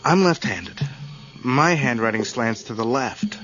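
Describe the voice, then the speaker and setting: An actor in an audio drama playing an anxious, irritable married man, tense and defensive, halting when troubled. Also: mid-range voice, lively intonation, a bit bright, muffled recording, soft background music. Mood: uneasy, puzzled.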